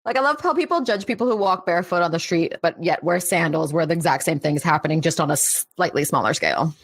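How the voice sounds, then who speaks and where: A young woman with a lowish voice that swoops up and down a lot. She teases her co-host with dry sarcasm in casual podcast chat. The sound is close and dry, with no room echo. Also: animated, fast.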